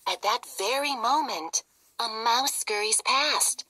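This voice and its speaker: Lady doing a kids read-aloud, bright voice, bit husky, really expressive. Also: on the high side, takes it slowly, no echo, rough recording. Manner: animated, theatrical. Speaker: storybook reader.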